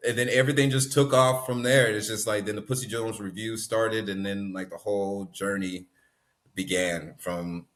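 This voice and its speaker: Man, young adult, bass voice, animated storyteller, laughs a lot, interview guest. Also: moderate pace. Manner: animated, laughs often, acts out voices in stories. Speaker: guest, actor and musician.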